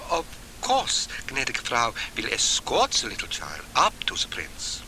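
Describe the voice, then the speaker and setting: A man's voice: mid-range voice, wildly swooping pitch, a little slow, sharp tone, heavily acted. A man voicing a shy, eager middle-aged foreign woman with hesitant, coaxing phrasing, as an audiobook character. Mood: reassuring. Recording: noisy old cassette transfer.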